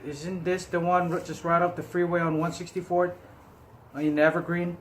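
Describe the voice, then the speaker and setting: A man's voice: sits high, little pitch movement, moderate pace, fairly bright tone, slight background noise. A man improvising prank phone calls, play-acting with a hesitant, stammering delivery. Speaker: prank caller.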